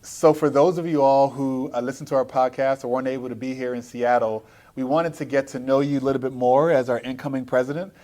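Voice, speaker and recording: A man hosting an interview podcast, speaking with halting, hesitant phrasing as he puts questions to a guest. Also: medium pitch, steady tone, a little mellow. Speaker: podcast host. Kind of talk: interview, live podcast recording. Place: at a conference in Seattle.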